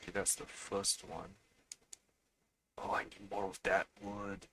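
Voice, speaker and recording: A man's voice: on the low side, big pitch swings, a little slow, vocal fry, pushed and bright, slight background noise. A man live-streaming a video game with casual, unscripted commentary, muttering to himself and swearing freely.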